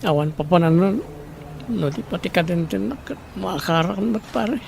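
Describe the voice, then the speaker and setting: A male actor plays an ailing, downhearted man in an Ilocano radio drama. His voice is very creaky and gravelly, set somewhat high for a man, with an Ilocano accent. There is faint noise behind him. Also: normal speed, a little mellow. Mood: confiding. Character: sick, struggling smoker man with a lung illness, downcast.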